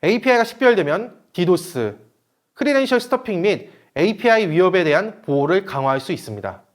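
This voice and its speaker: High-pitched man giving a formal presentation. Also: big pitch swings, fairly quick. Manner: formal, explanatory. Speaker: presenter, company manager. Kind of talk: scripted webinar presentation.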